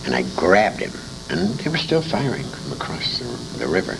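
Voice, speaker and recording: An older male veteran with a low, gravelly voice recounts his memories in an interview. His delivery swings widely in pitch as he haltingly and emphatically acts out who said what, with salty language. It is an amateur tape with clear background noise. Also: wildly swooping pitch. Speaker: war veteran being interviewed. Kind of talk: anecdotal storytelling in an interview. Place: indoor interview at a convention. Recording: noisy amateur interview tape with room ambience.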